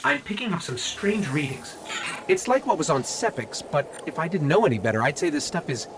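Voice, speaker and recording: An actor playing a small, inquisitive robot companion in a video game, expressive and wry, with a touch of worry. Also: male voice, a little high, brisk, noisy background. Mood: curious. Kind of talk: cinematic video game acting. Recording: music under the voice. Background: game score.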